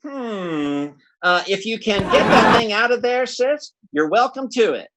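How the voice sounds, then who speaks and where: A man acts out a bumbling everyman schemer from an old-time radio comedy. He talks slowly and folksily, stammering and blustering, with pitch that swings about freely. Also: animated, very noisy. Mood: grumbling. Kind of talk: classic radio comedy acting.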